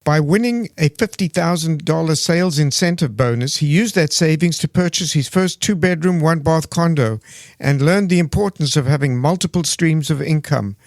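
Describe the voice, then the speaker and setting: A man's voice: on the high side, moderate pace, dry recording, clean studio sound. A man co-hosting a podcast interview, upbeat, reading a polished guest introduction. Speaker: podcast co-host.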